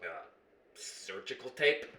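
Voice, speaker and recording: Echoey, a little off the mic, a man plays a nervous, put-upon barbershop worker in a radio drama. He is sarcastic and incredulous, with a bright edge to his voice. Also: on the low side, very animated, slow pace, full character voice. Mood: incredulous, wary. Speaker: actor in a radio play. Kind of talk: radio play acting. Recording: roomy, echoing, slightly distant mic.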